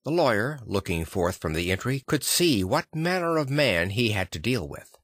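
A man reading literary fiction as an audiobook narrator, with a measured, deliberate storytelling delivery. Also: fairly low pitch, big pitch swings, fairly bright tone, no room sound, clean studio sound.

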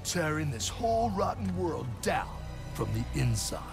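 A man's voice: fairly high pitch, big pitch swings, a little slow, creaky, heavily acted. A man playing a cocky, rebellious alien resistance operative in a video game, brash and slangy. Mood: determined. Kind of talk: video game cutscene acting. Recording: loud music behind the voice.

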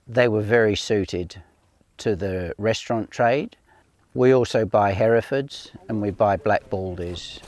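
An older Australian farmer with a very deep voice, speaking steadily and plainly. The sound is dry and close, picked up on a lapel mic outdoors. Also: male voice, dry recording. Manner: plain, matter-of-fact, steady. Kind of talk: outdoor farm walk interview. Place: outdoors on a farm paddock by a ute.

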